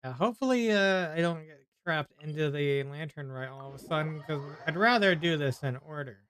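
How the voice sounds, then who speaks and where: A man's voice: on the high side, fairly quick, a bit bright, clean tone, dry recording. A man giving casual playthrough commentary as a video game streamer, with wry, teasing asides.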